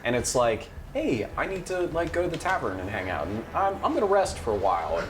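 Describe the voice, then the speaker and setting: A man chatting casually as a player in a tabletop game, joking and animated. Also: medium pitch, brisk. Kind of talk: casual chat during a game. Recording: noisy room with background ambience.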